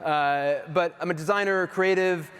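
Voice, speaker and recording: A man's voice: on the high side, moderate pace, fairly bright tone, clean tone. A middle-aged man from the US East Coast giving a casual keynote talk on stage, chatty and self-deprecating with lots of fillers. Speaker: keynote speaker. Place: large hall stage. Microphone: handheld or stage mic. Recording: reverberant hall.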